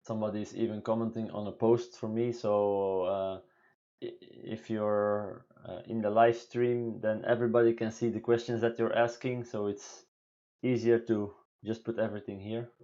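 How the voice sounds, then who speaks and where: A man in his thirties from Belgium with a deep voice, answering viewer questions in halting English on a home live stream. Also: flat delivery, dark tone, dull audio. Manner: halting, many fillers and restarts, non-native English phrasing. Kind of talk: casual live Q&A talk. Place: small room indoors at home.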